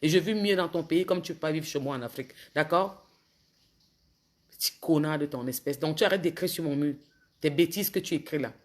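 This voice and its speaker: A woman with a cold, a bit hoarse, talking casually to viewers on a phone livestream and scolding commenters with indignation. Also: sits low, fairly quick. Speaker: social media livestreamer.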